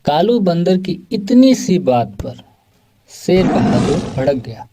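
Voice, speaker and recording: A man's voice: a little high, very animated, slow pace, heavy background noise. A man reading scripted narration for a children's moral story.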